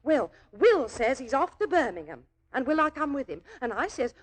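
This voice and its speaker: A naive young working girl in a 1940s radio drama. Her voice is very high and swoops about animatedly as she rambles in a colloquial, flustered, deferential way. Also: sing-song, normal speed. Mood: earnest, gossipy. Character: naive, chatty, flustered young working-class London typist.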